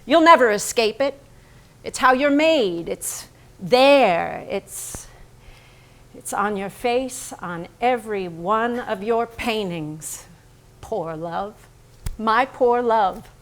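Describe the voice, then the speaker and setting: Painter's wife, reproachful and fiercely devoted, higher female voice, unhurried biting theatrical monologue. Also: lively intonation, fairly bright tone, full character voice. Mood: grim, relentless, then tender, bitter. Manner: deliberate, incantatory, biting. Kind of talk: theatrical staged reading, monologue.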